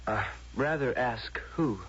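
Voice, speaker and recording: A man's voice: mid-range voice, slow, fairly bright tone, slightly rough. A man playing an idle, lovestruck man of a future utopia in a radio drama, speaking in a languid, earnest, polite way. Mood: wistful. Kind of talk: 1970s radio drama acting. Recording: dull vintage radio drama recording.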